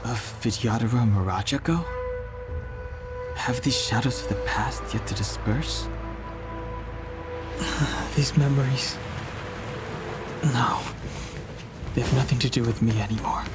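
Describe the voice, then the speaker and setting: Slow, brooding young man acted by a man. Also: medium pitch, lightly acted. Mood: haunted, resolute. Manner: terse, serious, introspective. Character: brooding, reserved young male exiled wanderer. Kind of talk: English video game voice acting. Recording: loud game music behind the voice.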